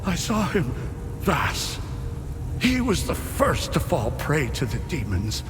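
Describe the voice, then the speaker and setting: An actor playing a shaken, devout old abbot in a video game, speaking in a fervent, halting way. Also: male voice, a little high, wildly swooping pitch, heavily acted. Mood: haunted. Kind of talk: dramatic video game acting. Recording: long reverberant hall, music behind.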